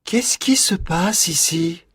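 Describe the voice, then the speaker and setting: A woman voicing a gallant young nutcracker prince in a storybook. She drops her voice low and speaks slowly and solemnly. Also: animated, a bit bright, breathy edge, dry recording, exaggerated voice. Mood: commanding. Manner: slow, deepened and solemn.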